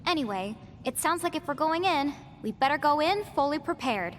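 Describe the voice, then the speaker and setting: A young actress playing a sharp, level-headed heroine with a dry, sarcastic way of speaking. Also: female voice, high-pitched, animated, normal speed, very noisy. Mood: wry. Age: young adult. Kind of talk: video game voice acting. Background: game music.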